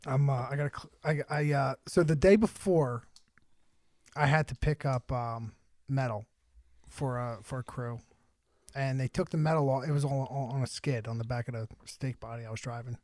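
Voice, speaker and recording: A man's voice: mid-range voice, steady tone, normal speed, a little mellow, no echo. A man co-hosting a podcast, chatting casually and telling stories in slangy, sweary talk. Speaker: podcast co-host. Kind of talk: casual chat and anecdotes.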